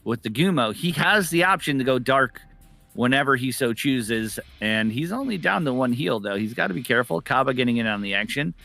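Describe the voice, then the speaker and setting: A man casting an esports match live, with excitable, exclamatory commentary on the play as it unfolds. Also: mid-range voice, brisk, creaky, faint music behind. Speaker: esports caster.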